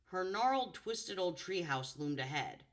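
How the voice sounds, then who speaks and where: A woman with a low contralto voice reading a storybook and chatting afterwards about her voices, expressive and playful. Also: measured pace. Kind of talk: storybook narration and chat.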